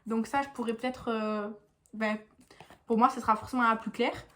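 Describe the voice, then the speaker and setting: A woman's voice: on the high side, steady tone, normal speed, a bit bright. A young woman with a stuffy nose from a cold, chatting casually in a meandering beauty vlog at home, full of hesitations. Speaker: beauty vlogger.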